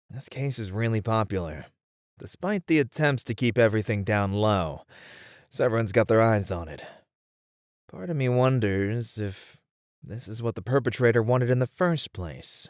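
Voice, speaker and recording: A man's voice: fairly low pitch, animated, moderate pace, no room sound, studio quality, lightly acted. A man playing a weary, frustrated detective in an ASMR roleplay, talking conversationally to a partner and thinking aloud. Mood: exasperated, venting. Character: weary, frustrated male detective venting about a serial case. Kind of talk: ASMR roleplay acting, spoken to an unheard partner.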